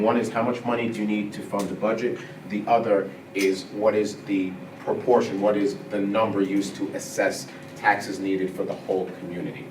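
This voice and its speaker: Low-voiced man presenting in an echoey room. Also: recorded from a distance. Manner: measured, explanatory, with frequent uh and um. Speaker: school administrator presenting budget. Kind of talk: board meeting presentation. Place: school board meeting room. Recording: echoey room picked up from afar, noisy.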